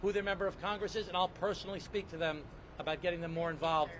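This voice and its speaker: A man's voice: high voice, steady tone, quick pace, very noisy. A man, a congressman, giving assured remarks at an outdoor press event. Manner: assured, promising action. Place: outdoors on a city street.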